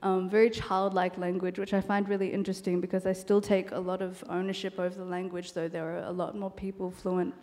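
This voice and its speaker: Woman writer, soft and thoughtful, lots of ums, mellow voice, big echoey hall. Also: lowish voice, level tone, fairly quick, hardly any pauses. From Australia. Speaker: novelist. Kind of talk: panel discussion and reading from her novel. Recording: long hall reverb.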